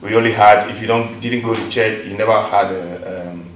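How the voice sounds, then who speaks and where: A middle-aged Ghanaian man with a fairly low voice, speaking in a measured way and stopping on fillers now and then. He sits well back from the mic, and the room is heavily echoey with a faint hiss. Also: steady tone, recorded from a distance. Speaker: guest, teacher. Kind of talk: interview.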